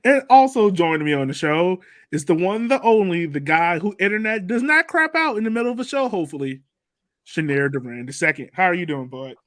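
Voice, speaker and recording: A man's voice: high voice, big pitch swings, brisk, fairly bright tone, dry recording, clean studio sound. A man hosting a casual sports podcast, chatting in a slangy, jokey way. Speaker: podcast host.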